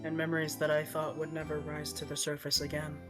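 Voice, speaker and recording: A woman's voice: sits low, completely flat delivery, quick pace. A woman playfully reading game dialogue aloud among friends in a casual style. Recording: music behind the voice.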